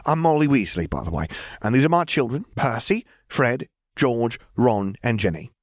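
A man's voice: medium pitch, very animated, normal speed, no room sound, clean studio sound, pitched above the performer's own voice. A man voicing a bustling mother of many children with a chatty, motherly manner. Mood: friendly.

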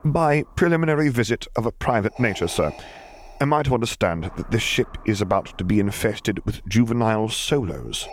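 A man's voice: on the low side, expressive, moderate pace, close to an everyday voice. A man acts out a flustered admiral in an audiobook, dutiful and formal. His politeness sounds strained and exasperated, and there is light noise on the recording.

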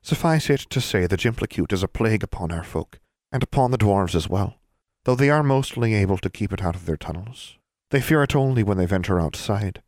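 A man's voice: fairly low pitch, expressive, brisk, a little mellow, dry recording, studio quality, lightly acted. A man voicing a crafty, proud old male hill elf in an audiobook, with formal, old-fashioned phrasing. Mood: formal, wary. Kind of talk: audiobook character voice.

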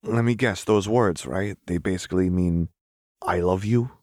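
A man performs a brash young man from the rough side of the city in an audiobook. He talks in casual, emphatic slang, exasperated and wry. The sound is close and dry. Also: deep voice, normal speed, darkish tone, dry recording, natural voice. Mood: guarded. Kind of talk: audiobook character dialogue.

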